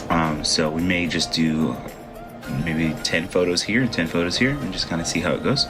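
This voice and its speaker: Deep-voiced congested man explaining photo edits. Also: moderate pace, music in the background. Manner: thinks aloud while working, informal and chatty. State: congested from allergies. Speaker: photographer teaching photo editing. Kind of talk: casual screen-recorded tutorial.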